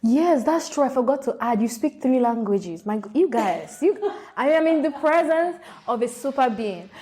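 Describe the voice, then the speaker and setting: Chatty host woman, higher voice. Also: expressive, normal speed, dark tone. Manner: chatty, reacts with frequent mm-hmms. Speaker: podcast host. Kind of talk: casual interview chat.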